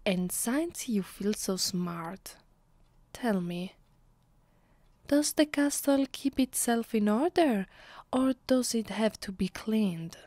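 A woman with an Italian accent playing an imperious, mocking ancient vampire mistress in an ASMR roleplay, speaking deliberately and often dropping into a whisper. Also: mid-range voice, expressive, warm tone, dry recording. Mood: condescending. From Italy. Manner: slow, haughty, deliberate with pauses, often breathy whispering. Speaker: ASMR voice actress. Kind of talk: ASMR roleplay, slow intimate delivery. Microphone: close, dry microphone.

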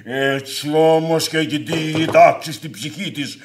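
Actor as a blustering, high-pitched angry gentleman. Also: close to an everyday voice. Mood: scornful, declaiming. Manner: declamatory, bellowing, sarcastic. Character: blustering, hot-tempered middle-aged gentleman creditor, scornful of women. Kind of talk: theatrical radio play acting.

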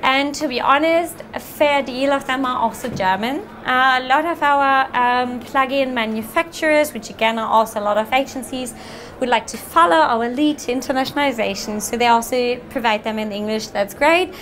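Woman presenter, thirties, fairly high voice, friendly and fluent, faint room noise. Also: moderate pace, fairly bright tone. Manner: friendly, fluent, frequent ums. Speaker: software sales presenter. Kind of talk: meetup presentation with audience Q&A. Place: meetup room with audience.

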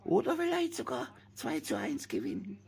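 A man putting on a high, eager voice for a chirpy puppet friend in a homemade puppet play. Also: huge pitch swings, moderate pace, gravelly, a little mellow.

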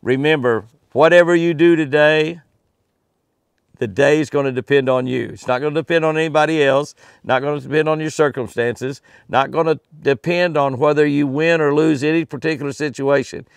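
A man's voice: a little high, steady tone, moderate pace, vocal fry, clean tone, dry recording. An older man hosting a daily devotional and fishing tip from outdoors by a lake, in a folksy, earnest way and repeating his key phrases for emphasis. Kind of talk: devotional reading and folksy preaching. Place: outdoors by a lake next to a cabin. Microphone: camera close in front, face framed.

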